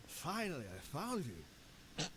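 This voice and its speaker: A battered male mercenary, calm and weary, with an odd, thick way of talking. His voice rises and falls in lively swings, with faint noise behind it in the game audio. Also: a little high, slow pace, heavily acted. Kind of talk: video game dialogue.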